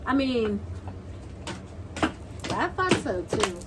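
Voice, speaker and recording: A young adult woman in casual storytime chat, slangy and halting, repeating phrases for emphasis. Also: on the high side, sing-song. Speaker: video creator, guest co-storyteller. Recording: clearly noisy home video recording.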